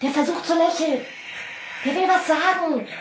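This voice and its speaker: A high-voiced, concerned woman. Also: moderate pace. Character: concerned, compassionate woman watching over a stranger. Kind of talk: naturalistic radio drama acting. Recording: echoey, noisy room.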